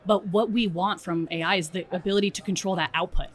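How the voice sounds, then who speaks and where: A woman's voice: fairly low pitch, fairly even intonation, fast. A woman speaking as an expert guest in a conversational interview, explaining things and often checking in with "right?". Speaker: interview guest, strategy director at a software company.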